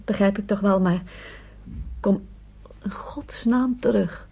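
Husky, genteel anxious mother. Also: mid-range voice, slow. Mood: anxious, resigned. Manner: genteel, hesitant, softly concerned. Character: anxious, kindly middle-aged French mother, genteel hostess. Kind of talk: radio drama acting, naturalistic. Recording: dull old radio play recording.

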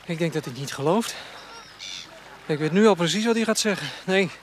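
Conflicted young farm lad, high voice, dutch netherlands accent, earnest radio drama, faint noise behind. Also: male voice, lively intonation, a little fast. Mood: apprehensive. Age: 20s. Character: troubled, conflicted young farmer's son. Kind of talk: naturalistic radio drama dialogue.

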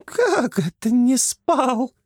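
A sharp-tongued young wife whose high falsetto scolds and mocks. She gives orders briskly, voiced by a male storyteller. Dry, close recording. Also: big pitch swings, dry recording, exaggerated voice. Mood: mocking. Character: sharp, bossy young woman, tavern wife.

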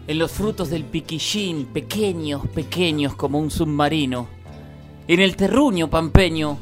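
A man with an Argentine accent reading scripted satirical radio narration in a declamatory, mock-solemn manner. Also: on the high side.

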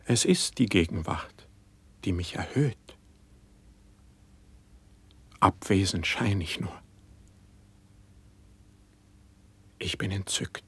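An actor playing a dreamy young poet in a classical verse radio play, speaking in a lofty, reverent way. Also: male voice, low voice, a little slow, husky, full character voice. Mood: enraptured. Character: dreamy young male poet, rapt and humble.

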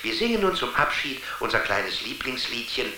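An actor playing a touchy, talkative television character in a children's radio play, explaining things theatrically. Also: male voice, mid-range voice, big pitch swings, normal speed, sharp tone, echoey room, slight background noise, low-quality recording. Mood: mocking, sing-song. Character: touchy, talkative male TV character living inside the screen.

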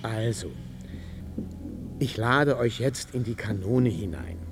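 An actor playing a brisk, bossy but kindly sandman with a commanding, theatrical way of talking in a children's radio play. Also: lowish voice, expressive. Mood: instructing, reassuring.

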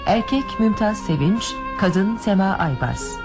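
A woman of average pitch makes a formal, scripted radio theatre announcement. Music plays clearly behind her measured credits. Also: lively intonation.

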